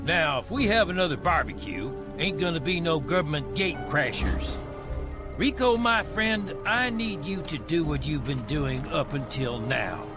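An actor playing a folksy, breezy veteran agency handler in a video game, colloquial and blustery. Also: male voice, fairly high pitch, lively intonation, a little slow, over music. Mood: breezy, scheming. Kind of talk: video game cutscene acting.

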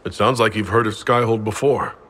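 An actor playing a composed, plain-spoken leader of an inquisition in a fantasy game. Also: male voice, on the low side, steady tone, a little fast, a bit bright. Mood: polite. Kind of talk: cinematic fantasy game dialogue.

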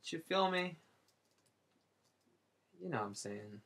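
A man's voice: on the high side, expressive, takes it slowly, a bit bright. A man in his late twenties chatting casually and jokingly while he draws, rambling and teasing his viewers. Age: 20s. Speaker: artist and channel host. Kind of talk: casual chat while drawing.

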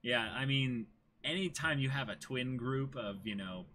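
A man in his twenties co-hosting a casual livestream, chatting and reading out viewer comments in an animated way. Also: mid-range voice, measured pace. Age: 20s. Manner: animated, exclaiming thanks to viewers. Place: small indoor room with a backdrop.

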